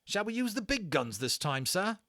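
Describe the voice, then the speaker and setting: Storybook toy soldier, acted by a man: corporal, raised pitch, swooping delivery, respectful yet grumbling, clipped and military. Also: sing-song, normal speed, bright, forceful tone, no room sound, clean studio sound, full character voice. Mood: brisk, cautioning. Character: tiny British army corporal toy, deferential but grumbling.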